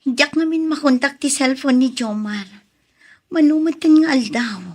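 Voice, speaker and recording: A woman playing a young woman in love, trusting and easily hurt, in a drama with a husky voice. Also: high-pitched, normal speed, darkish tone, close to an everyday voice. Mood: worried. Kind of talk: Ilocano radio-drama style acting.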